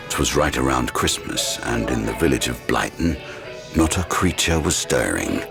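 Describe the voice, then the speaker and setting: An actor as a grave, ominous storyteller, giving brooding, measured narration in a horror audio drama. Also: male voice, extremely low pitch, expressive, gravelly, over music, full character voice.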